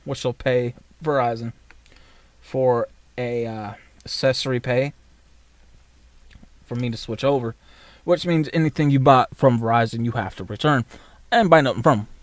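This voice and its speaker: A man's voice: mid-range voice, moderate pace, pauses often, dry recording. Blunt, profane man ranting on camera. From United States. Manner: blunt, profane and rambling, with many fillers. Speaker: vlogger. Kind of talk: casual ranting monologue.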